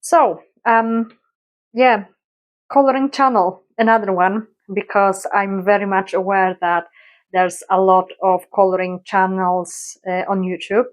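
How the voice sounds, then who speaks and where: Woman with a polish accent, talks slow, lots of ums and pauses, casual vlog intro. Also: mid-range voice, fairly even intonation. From Poland. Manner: hesitant, many fillers, slow and thoughtful. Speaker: YouTuber.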